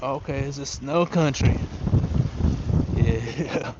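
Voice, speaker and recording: A man's voice: medium pitch, takes it slowly, highs cut off, very noisy. A young man from Ukraine who learned English later, chatting casually and informally as he hosts an outdoor fishing livestream and answers viewers. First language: not English, learned it after moving to the US. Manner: informal, switches between English and Russian. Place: outdoors on a riverbank in wind.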